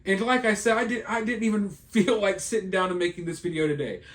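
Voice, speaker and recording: A tired, hoarse man giving an indignant, emphatic commentary in a solo video. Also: sits high, fairly quick, fairly bright tone. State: hoarse, losing his voice.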